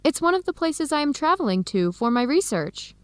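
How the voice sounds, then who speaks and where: Animated young American woman, high voice. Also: brisk, no echo. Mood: warm, chatty. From United States. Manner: lively, expressive. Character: Helen, friendly young American woman researcher on a date. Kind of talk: radio drama acting.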